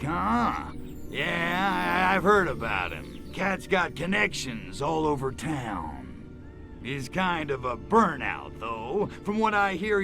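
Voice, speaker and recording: A man with an American accent voicing a cocky, wisecracking alien invader in a video game, gravelly, in sardonic hipster slang. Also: on the high side, full character voice. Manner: laid-back hipster slang, sardonic drawl. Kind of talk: video game cutscene acting.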